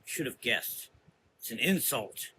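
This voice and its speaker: A man's voice: medium pitch, expressive, takes it slowly, bright, forceful tone, a little hiss or hum, poor audio. A man playing a brooding, cryptic adventurer with a grave, formal manner in a gothic horror audio drama. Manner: solemn, cryptic.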